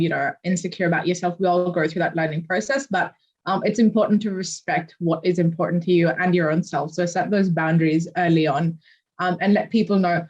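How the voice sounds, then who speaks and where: A woman's voice: fairly low pitch, a little mellow, dull audio. A woman moderating a panel discussion, summarizing and encouraging the speakers and handing over questions, with many ums.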